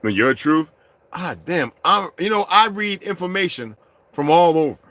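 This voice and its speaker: High, animated, ranting middle-aged man. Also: moderate pace, no room sound. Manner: heated, profane, swings between muttering and shouting. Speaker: vlogger. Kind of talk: profane ranting monologue.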